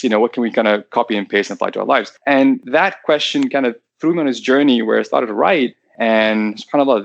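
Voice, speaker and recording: Fast-talking man guest in dry audio. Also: mid-range voice, gravelly, dry recording. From Tanzania. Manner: quick, animated storytelling with many fillers. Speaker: podcast guest. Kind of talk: conversational interview.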